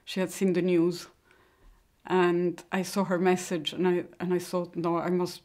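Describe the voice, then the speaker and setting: Middle-aged woman, personal testimony, lowish pitch, flat intonation, hesitant, halting phrasing. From born in Mexico, grew up in Italy. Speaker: interviewee giving personal testimony. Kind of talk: personal account to camera.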